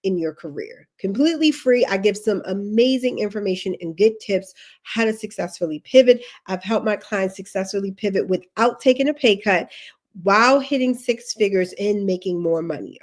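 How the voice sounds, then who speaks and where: A woman's voice: mid-range voice, moderate pace. Sniffly woman giving emphatic career tips. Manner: emphatic, repeats key instructions, chatty asides. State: sniffly with allergies. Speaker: career coach. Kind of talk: livestream career advice.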